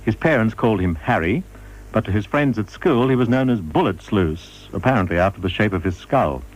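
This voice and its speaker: A man reading scripted radio documentary narration in a measured, formal manner. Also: on the low side, animated, rough recording.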